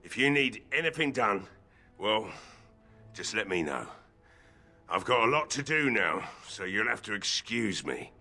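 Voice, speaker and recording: A bitter village blacksmith sunk in drink and grief. His voice is bright and forceful, with a weary, sardonic drawl, over background music, in a fantasy video game. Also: fairly low pitch, sing-song, unhurried, pauses often, exaggerated voice. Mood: resigned. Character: grieving, drunken, bitter village blacksmith, a father. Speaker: voice actor in a fantasy role-playing game. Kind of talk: video game dialogue acting.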